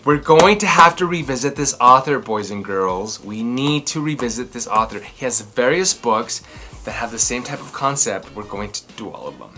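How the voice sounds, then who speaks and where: A man in his thirties hosting a children's read-aloud, telling stories and chatting in a theatrical, emphatic way with drawn-out words. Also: mid-range voice, expressive, bright, forceful tone, soft background music. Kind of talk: expressive storytelling and chatty commentary for children. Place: small furnished room indoors.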